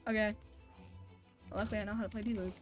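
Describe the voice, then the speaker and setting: A woman live-streaming a video game, commenting casually and excitably with mild swearing. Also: mid-range voice, fast, loud music behind the voice.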